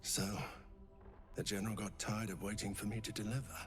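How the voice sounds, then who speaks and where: An actor playing a sly, menacing traitor soldier in a video game cutscene, speaking in a smooth, conspiratorial way. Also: male voice, lowish voice, flat delivery, a little fast, lightly acted. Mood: smug, conspiratorial. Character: sly male traitor soldier serving a dark general. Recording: music behind the voice.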